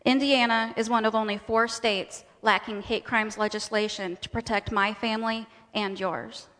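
A woman's voice: on the high side, steady tone, moderate pace, fairly bright tone. A woman delivering a rehearsed, dramatic testimony as part of a staged reading. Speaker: speaker in a readers' theater presentation. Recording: echoing hall with long reverb.

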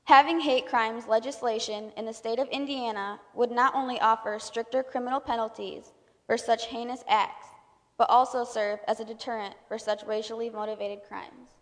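A woman's voice: a little high, fairly even intonation, moderate pace, fairly bright tone. A woman delivering a scripted formal speech in a solemn, declamatory manner. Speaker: speaker at a convocation. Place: large indoor hall. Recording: reverberant hall.

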